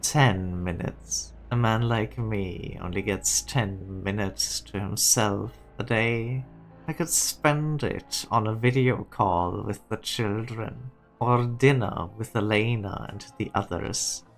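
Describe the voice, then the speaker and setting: A man playing an ambitious, proud self-made businessman, deliberate and speechifying, in a fan-dubbed game drama. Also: on the low side, gravelly, faint music behind. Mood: wistful, firm. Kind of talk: scripted fan-dub game drama monologue.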